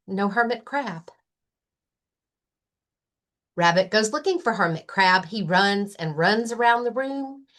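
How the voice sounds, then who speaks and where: A woman librarian reading a children's story aloud, expressive and deliberate, with emphatic phrasing and playful sound words. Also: a little high.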